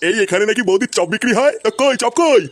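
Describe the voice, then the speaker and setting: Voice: medium pitch, lively intonation, fast, no echo, close to an everyday voice. An actor voicing a querulous, curious old grandfather in a comedy cartoon, with a folksy, questioning manner. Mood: curious, eager.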